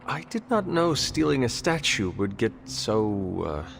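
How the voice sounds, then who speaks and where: An actor playing a solemn, polite supernatural hero in a video game, speaking formally and with restraint. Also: male voice, on the low side, very animated, a little slow, gravelly, faint music behind, full character voice. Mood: earnest, curious. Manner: formal, avoids contractions, restrained. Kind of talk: video game cutscene acting.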